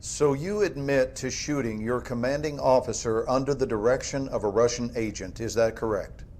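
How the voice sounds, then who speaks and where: An actor playing a cold, dismissive government interrogator in a game cutscene. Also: male voice, mid-range voice, moderate pace, a little mellow, lightly acted. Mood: stern. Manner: clipped, dismissive, authoritative.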